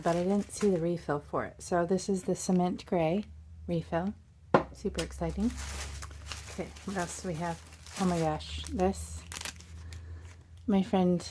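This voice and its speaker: A hoarse woman hosting a casual crafting unboxing from her craft desk, chatty and bubbly, with little asides and puns. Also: lowish voice, darkish tone, a little hiss or hum. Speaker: crafting channel host.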